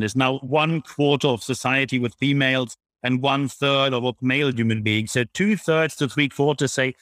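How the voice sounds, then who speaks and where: A man's voice: medium pitch, a bit bright, no echo. A man with a German accent giving blunt, emphatic, explanatory answers as an interview guest. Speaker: guest, public speaker on leadership.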